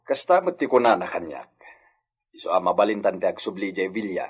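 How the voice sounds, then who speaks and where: A man's voice: deep voice, expressive, fairly quick, husky. A man with an Ilocano accent playing a polite, grateful village man in a radio drama, courteous and earnest. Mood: matter-of-fact.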